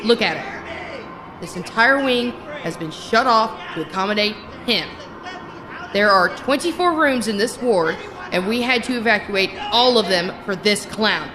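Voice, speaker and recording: A woman's voice: mid-range voice, lively intonation, a little slow, a crowd in the background, lightly acted. A woman playing a weary, bitter psychiatric ward nurse with an emphatic manner in a horror audio drama. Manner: exasperated, emphatic.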